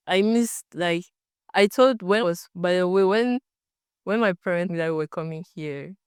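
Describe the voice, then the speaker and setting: A young woman from Cameroon with a Cameroonian French accent and French as her first language. She answers interview questions as a student researcher, often pausing to search for words. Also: low-pitched, darkish tone, clear, resonant tone, no echo, studio quality. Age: young adult.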